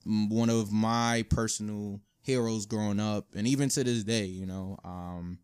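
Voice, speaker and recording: A man's voice: fairly low pitch, fairly even intonation, a little slow, darkish tone, clear, resonant tone. Subdued man co-hosting a podcast. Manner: informal urban slang, frequent "you know what I'm saying". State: subdued, low energy. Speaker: podcast co-host. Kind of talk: casual conversational podcast talk.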